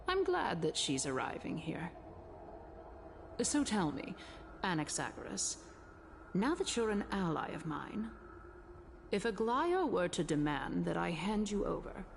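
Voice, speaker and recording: An imperious female elder of a council in a video game. Her voice is fairly low with plenty of gravel. She speaks formally and gravely, like a schemer giving an oration, over faint noise. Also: big pitch swings, takes it slowly, pauses often, heavily acted. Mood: wry, calculating.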